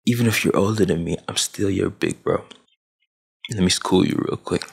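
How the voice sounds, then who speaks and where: Gravelly deep-voiced young man ranting. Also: normal speed. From Sudan, grew up in Saudi Arabia. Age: 20s. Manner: preachy big-brother tone, emphatic, with hand gestures. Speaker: vlogger. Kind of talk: motivational rant to camera. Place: small dim room at home, gaming chair behind. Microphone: headset with boom mic.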